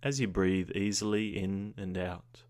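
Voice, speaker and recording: A man with a low voice leads a guided meditation. He speaks gently and soothingly, in short unhurried phrases. Long pauses separate his instructions. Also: steady tone. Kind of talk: guided body scan meditation.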